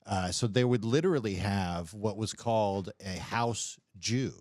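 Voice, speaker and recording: Male podcast co-host, lowish voice, close dry sound, loose halting explanations in slangy casual talk. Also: a little mellow, no echo. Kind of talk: casual chat.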